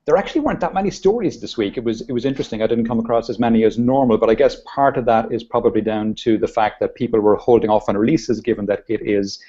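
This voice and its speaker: A male host speaks in a mellow, darker voice, easygoing and halting, often restarting his sentences. He is heard over a video call with muffled, lo-fi audio. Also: medium pitch, breathy edge. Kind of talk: casual video chat.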